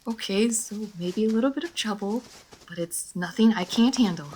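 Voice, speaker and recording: A woman's voice: medium pitch, moderate pace, a little mellow, a little hiss or hum, lightly acted, poor audio. A woman playing a centuries-old female vampire in a roleplay audio drama, prim, proud and guarded yet playful, with formal, old-fashioned phrasing. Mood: flustered, stubborn.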